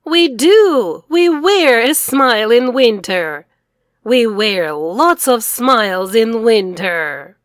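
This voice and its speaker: A middle-aged woman reading aloud to children as an English teacher, enunciating each phrase clearly. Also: a little high, sing-song, takes it slowly, no echo. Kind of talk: children's read-aloud lesson.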